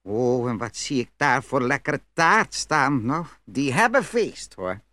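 A man's voice: medium pitch, sing-song, a little slow, bright, forceful tone, no echo. A man playing a poor, cunning, cheerful little farmer in a fairy tale audio play. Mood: plain, wistful.

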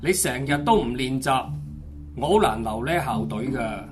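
A man's voice: mid-range voice, lively intonation, normal speed, natural voice. A man with a Hong Kong Cantonese accent playing a stern, exasperated school football coach in a radio drama. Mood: stern scolding. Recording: music behind the voice.

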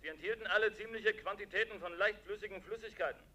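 An actor playing a brisk forensic analyst in a 1960s German radio play, reporting findings in a factual, clipped way. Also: male voice, high-pitched, sharp tone, exaggerated voice. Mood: matter-of-fact.

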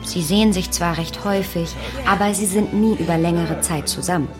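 A woman's voice: on the low side, brisk, lightly acted. An actress in a German radio drama playing a pragmatic, unromantic young woman, a frank and knowing confidante. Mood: knowing advice. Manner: matter-of-fact, frank, knowing. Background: music.

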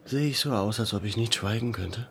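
A man's voice: on the low side, brisk, creaky, fairly bright tone, a little hiss or hum. An actor playing a determined man who questions people firmly and commands, in a radio play. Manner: firm, probing, commanding. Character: determined landowner leader, adult man.

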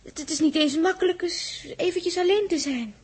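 A young woman on a space crew in a 1960s radio drama. Her voice is extremely high and girlish. She is emotional and easily wounded, hesitating as she speaks. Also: moderate pace. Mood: coy, hesitant. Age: young adult. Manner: girlish, hesitant, stammering when upset.

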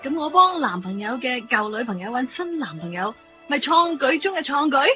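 A woman's voice: high voice, sing-song, normal speed. An actress playing a sarcastic, demanding young girlfriend in a radio drama. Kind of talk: Cantonese radio drama acting. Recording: dull recording under loud music.

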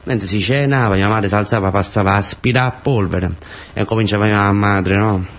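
A man's voice: fairly low pitch, normal speed. A man with a southern Italian accent performing a theatrical storytelling monologue in short, colloquial, repeated phrases. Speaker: actor and storyteller.

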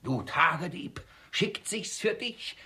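An actor playing an irascible, suspicious old miser in a classic comedy radio play, scolding in erratic outbursts. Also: male voice, high-pitched, lively intonation, takes it slowly, bright, forceful tone, heavily acted. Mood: furious, suspicious.

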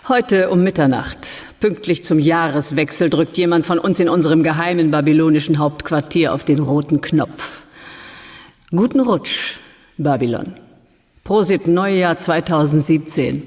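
A woman's voice: low voice, a little slow, a little mellow, long reverb tail. An actress playing a hard, determined urban guerrilla leader in a radio drama, commanding and cool in her delivery. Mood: militant, resolute.

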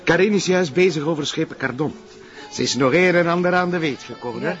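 An actor playing a good-humoured police colleague with a Flemish accent, in colloquial television crime drama dialogue. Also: male voice, fairly high pitch, fast talker, fairly bright tone, lightly acted. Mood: curious, amiable. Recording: muffled audio with faint music.

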